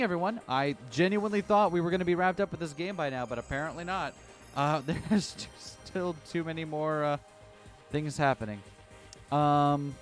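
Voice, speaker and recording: Slightly raspy male streamer reading aloud. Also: fairly high pitch. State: voice getting a little raspy. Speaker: game streamer. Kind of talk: reading a visual novel aloud and chatting on stream. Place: hot room at home.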